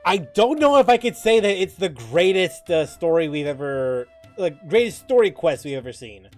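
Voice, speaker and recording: A man giving casual live commentary as a gaming streamer, musing informally in a halting way. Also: on the high side, sing-song, faint music behind.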